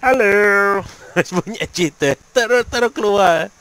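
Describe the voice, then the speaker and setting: A man with a Malaysian English accent playing a co-op game, excitable and shouting warnings to his teammate. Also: sits high, measured pace, clear, resonant tone. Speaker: gamer. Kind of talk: casual gaming chat.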